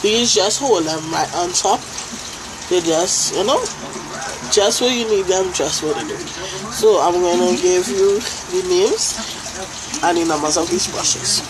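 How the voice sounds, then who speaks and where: A young woman with a Trinidadian accent reviews a makeup brush set at a slow, easy pace. She fills her pauses with "um" and "like" as she talks into a phone held close in a small room. Clear background noise runs under her voice. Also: on the low side. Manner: slow, unhurried, with many fillers. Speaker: beauty vlogger. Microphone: phone camera held close.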